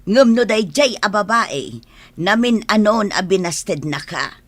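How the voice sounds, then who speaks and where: A woman's voice: medium pitch, animated, a little slow, dry recording. An actress playing an exasperated, protective elderly mother in a radio drama, with an Ilocano accent. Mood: nagging, exasperated.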